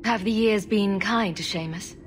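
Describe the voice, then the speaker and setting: A man playing a polite, diplomatic adventurer hero in a fantasy video game, speaking calmly and courteously. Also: sits high, normal speed, a little hiss or hum, natural voice. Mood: polite, curious. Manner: courteous, calm, helpful. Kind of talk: video game dialogue acting.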